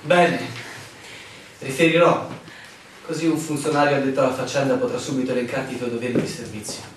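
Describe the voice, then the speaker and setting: An actor playing a pompous, unbending village official in a radio drama, speaking formally and with measured, officious diction. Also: male voice, medium pitch, little pitch movement, natural voice. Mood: brisk, formal. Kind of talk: Italian radio drama acting. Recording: echoey, distant, noisy radio play recording.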